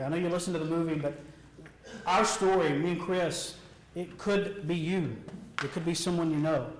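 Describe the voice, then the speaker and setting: High-voiced American man giving an earnest speech. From Alabama, United States. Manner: earnest, deliberate, emphatic short phrases with repetition. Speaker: motivational speaker. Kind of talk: rehearsed personal testimony speech to an audience. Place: echoey room, speaking before an audience. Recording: echoey room sound.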